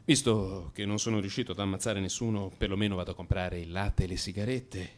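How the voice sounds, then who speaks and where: A man's voice: deep voice, fairly even intonation, fairly quick. A man narrating a theatrical first-person radio drama monologue, dramatic and changeable in his delivery.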